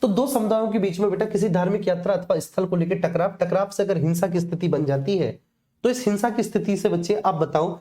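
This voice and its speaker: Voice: medium pitch, mellow. A teacher giving an online exam-prep lesson, explaining to students, often repeating phrases and checking that they follow.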